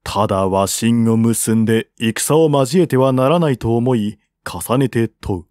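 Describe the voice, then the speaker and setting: A man reads a historical novel aloud as scripted narration, in a measured, formal, literary manner. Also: medium pitch, darkish tone, no room sound, clean studio sound.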